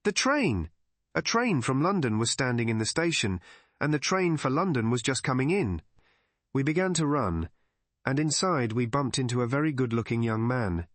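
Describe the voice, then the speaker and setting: A man's voice: mid-range voice, no room sound. Man reading a story aloud, dry close sound. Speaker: audiobook narrator. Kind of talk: scripted story narration.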